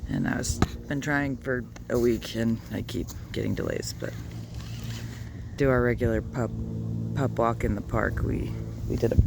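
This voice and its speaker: A man talking musingly while walking his dogs outdoors, sometimes speaking softly to them, recorded on a phone. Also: mid-range voice, creaky, background noise. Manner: musing, soft-spoken, talks to his dogs. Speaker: video blogger. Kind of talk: rambling walk-and-talk vlog. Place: outdoors on a neighborhood walk.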